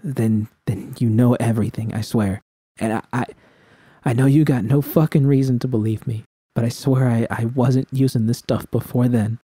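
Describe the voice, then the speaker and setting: A man voicing a troubled, defensive woman in an audiobook drama, halting and stammering. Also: mid-range voice, steady tone, mellow, husky, no echo, clean studio sound, lightly acted. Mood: pleading, defensive. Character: defensive, troubled young woman hiding an addiction. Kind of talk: dramatic audiobook character acting.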